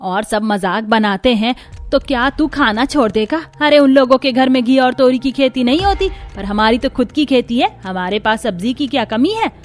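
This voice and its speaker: A woman's voice: fairly high pitch, lively intonation, normal speed, close to an everyday voice. Woman playing scolding, thrifty mother-in-law. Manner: scolding, insistent. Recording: music under the voice.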